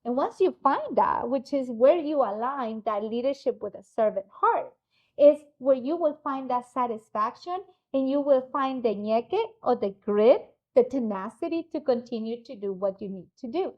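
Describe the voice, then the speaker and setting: A woman's voice: a little high, lively intonation, normal speed, muffled recording. A woman hosting an interview podcast, upbeat and chatty, who drops in Spanish words. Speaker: podcast host. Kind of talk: casual interview chat.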